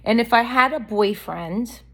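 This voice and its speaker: A woman's voice: a little high, measured pace, a bit bright, breathy edge. A woman vlogger talking straight to camera in a candid, blunt, rambling monologue, her voice a little worn from allergies.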